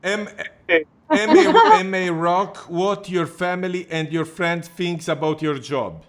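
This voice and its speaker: Host: man, voice sits a bit high, casual and halting, reads out viewer questions. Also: expressive. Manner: broken English phrasing, halting. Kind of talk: casual live chat.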